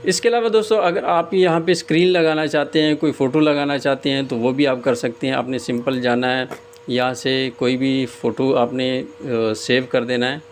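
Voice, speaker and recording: A man giving a casual step-by-step screen tutorial as host, often pausing hesitantly mid-sentence. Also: medium pitch, steady tone. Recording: clear background noise, room ambience.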